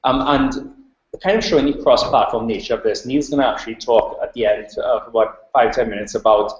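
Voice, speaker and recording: Trinidadian male researcher, seminar talk, roomy and a bit lo-fi. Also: medium pitch. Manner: fast-flowing, many ums. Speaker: invited speaker, researcher. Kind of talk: academic talk. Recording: roomy, dull lo-fi recording.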